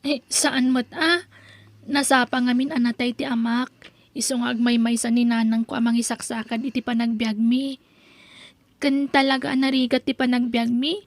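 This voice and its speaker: A woman acting a humble, grateful young student from a poor family in an Ilocano radio drama, earnest and gentle, with an Ilocano accent. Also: on the high side, little pitch movement, moderate pace, dry recording, natural voice.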